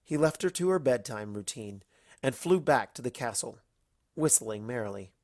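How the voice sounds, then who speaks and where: A woman's voice: a bass voice, lively intonation, normal speed, darkish tone, no echo, clean studio sound. A woman narrating a comic story as a reader, in a storytelling style. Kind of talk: lively narration of a comic fan fiction story.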